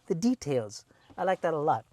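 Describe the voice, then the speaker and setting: A man's voice: on the high side, big pitch swings, normal speed, darkish tone, no echo. A man with a Filipino English accent hosting a car review walkaround, chatty and playful with enthusiastic asides.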